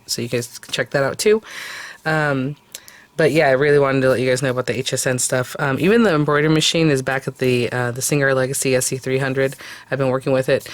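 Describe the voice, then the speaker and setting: A middle-aged woman hosts a casual vlog with a hoarse, worn-out voice. She rambles in a chatty way and leans on plenty of fillers. The sound is dry and close, with no room behind it. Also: very deep voice, no echo. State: hoarse, lost voice. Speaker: crafting channel host.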